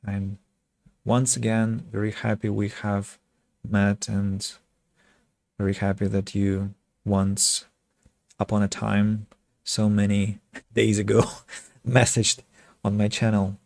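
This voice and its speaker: A man from Russia with a Russian accent chats casually with viewers as a game streamer, speaking reflectively and pausing mid-sentence. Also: on the low side, completely flat delivery, vocal fry, darkish tone, no echo. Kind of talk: casual livestream chat with viewers.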